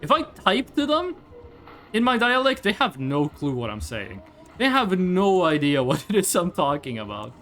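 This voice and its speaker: A man's voice: high-pitched, huge pitch swings, moderate pace, fairly bright tone. A man from Norway, a native Norwegian speaker, chats casually on a game livestream in a rambling, curious way and swears freely. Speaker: game streamer. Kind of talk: casual livestream chat over gameplay.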